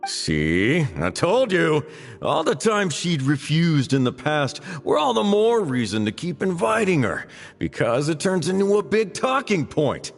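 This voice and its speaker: A smug theatre man in a video game, boasting with big swings of pitch. His voice has a gravelly creak, set in reverb over music. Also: a little slow, heavily acted. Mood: smug, gleeful. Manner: boastful, animated.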